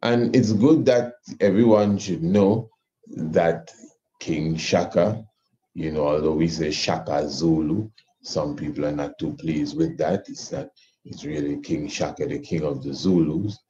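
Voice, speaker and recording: A man teaching an informal history class, speaking deliberately and haltingly with drawn-out words. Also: very deep voice, dark tone. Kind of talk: informal lesson discussion. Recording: muffled, noisy online call.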